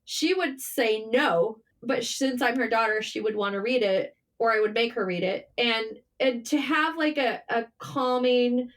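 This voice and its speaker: Female interview guest, higher register, conversational storyteller, frank and informal with the odd mild swear. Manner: informal, candid, mildly sweary. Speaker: interview guest, writer and journalist.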